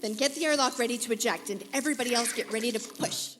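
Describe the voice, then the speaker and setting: Casting: actress as peppy serial heroine, high bright voice, brisk and gutsy, echoing live hall. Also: female voice, a little hiss or hum, close to an everyday voice. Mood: confident. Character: plucky, quick-thinking young female space adventurer. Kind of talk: 1940s pulp serial radio comedy acting.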